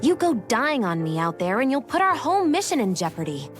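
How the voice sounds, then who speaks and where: A woman playing a cold, sharp-tongued, single-minded young woman in a video game cutscene, curt and scornful. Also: fairly high pitch, sing-song, brisk, faint music behind. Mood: curt, exasperated.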